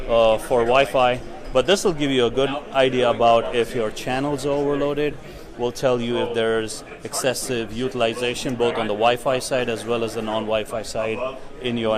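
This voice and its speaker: A man giving an informal, explanatory product demonstration as a company representative. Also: mid-range voice. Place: indoor tech conference event. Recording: busy, noisy conference hall crowd. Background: crowd chatter.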